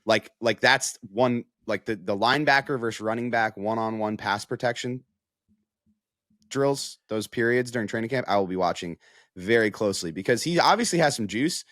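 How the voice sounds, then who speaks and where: A man's voice: mid-range voice, normal speed, a bit bright, no room sound, clean studio sound. A man analysing football in a casual podcast discussion, with an emphatic manner that leans on repeated phrases.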